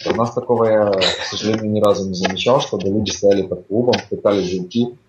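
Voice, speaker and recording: A man's voice: lowish voice, fast, noisy background. A man chatting casually as a guest in an interview, explaining at length with lots of fillers. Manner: relaxed, explanatory, with frequent fillers. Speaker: guest living abroad. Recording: echoey dull remote audio.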